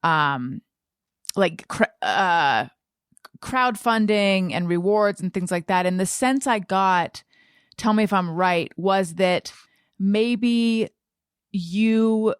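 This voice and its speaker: Lady podcast host, chatty and informal but slow paced, restarts her thoughts, dry close sound. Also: medium pitch, clear, resonant tone, no room sound. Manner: informal, self-interrupting, thinking aloud. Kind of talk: casual personal interview chat.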